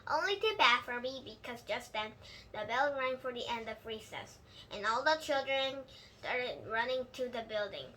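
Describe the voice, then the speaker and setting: A woman reading a children's book aloud in an expressive storytelling style, with a few slips. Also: a little high, moderate pace, low-quality recording. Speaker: storybook reader. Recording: clear background noise.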